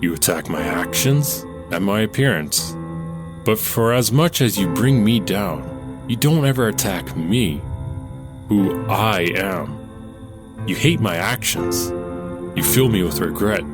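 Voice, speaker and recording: An actor playing a weary young man arguing with his own doubts, earnest and low-pitched, in an audio drama. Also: animated, slow, over music. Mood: pleading.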